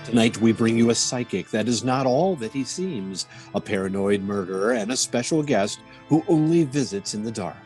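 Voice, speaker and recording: A male radio announcer narrates a spooky show with music faintly behind him. His mid-pitched voice is dramatic and measured, with wide rises and falls. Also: animated.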